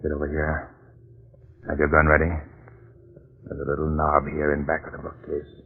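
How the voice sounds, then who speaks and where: An actor playing a brisk, bold police investigator, urgent and commanding, in an old-time radio mystery. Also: male voice, a bass voice, completely flat delivery, creaky, slightly rough, heavily acted. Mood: tense. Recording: dull old radio recording.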